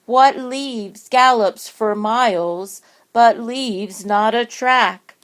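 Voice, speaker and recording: A woman voicing a restless, guilt-ridden female library ghost in a storybook, with drawn-out, plaintive delivery. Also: on the high side, fairly bright tone, voice pushed up. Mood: plaintive, guilty.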